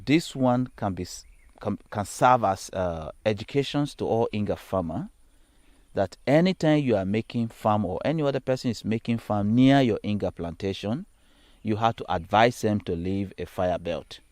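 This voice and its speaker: A man with a Sierra Leonean accent explains things in an unscripted, instructional way, deliberately and with emphatic repetition. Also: on the low side, lively intonation, darkish tone, no room sound. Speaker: agricultural trainer. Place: outdoors on a farm plantation.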